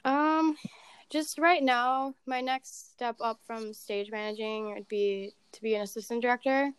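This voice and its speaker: Woman, 20s, podcast guest; flat, level delivery, crisp resonant tone. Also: a little high, a little slow, no echo. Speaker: podcast guest, assistant director. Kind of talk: casual chat.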